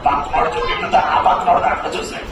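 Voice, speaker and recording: Man playing a fast-talking, sly puppet devil. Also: over a noisy crowd, natural voice. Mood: lazy, teasing. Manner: casual, teasing, coaxing. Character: sly, carefree male devil luring a schoolboy to hell. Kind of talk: comic puppet show dialogue. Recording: echoey, distant, noisy live show sound.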